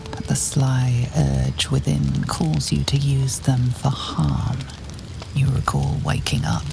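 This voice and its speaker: A sinister storyteller for a dark fantasy game. Her voice is extremely deep and thick with creak, often sinking toward a whisper. She speaks slowly and portentously, with music clearly behind her. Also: exaggerated voice. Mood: haunted, dark. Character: ominous, sinister female narrator of a dark fantasy tale. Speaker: narrator. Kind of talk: scripted second-person game narration.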